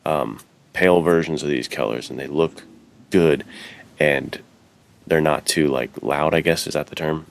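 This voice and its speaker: A man's voice: rumbling low, measured pace, gravelly. A man co-hosting a podcast in casual, slangy chat, often saying "dude" and "like". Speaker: podcast co-host.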